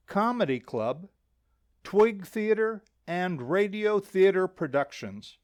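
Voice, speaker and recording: High-pitched, unhurried man announcing. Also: no echo, studio quality. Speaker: radio theater announcer. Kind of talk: scripted announcement.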